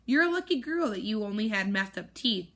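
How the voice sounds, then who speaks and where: A woman's voice: mid-range voice, expressive, brisk, a bit bright. A woman teacher voicing a stern, tall woman who scolds a girl, read aloud from a storybook.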